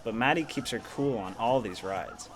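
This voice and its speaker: Actor as a smooth, pushy young man, mid pitch. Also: normal speed, faint music behind, close to an everyday voice, poor audio. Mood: casual. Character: Tom, smooth-talking, subtly pushy young male youth leader. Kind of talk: naturalistic audio drama dialogue.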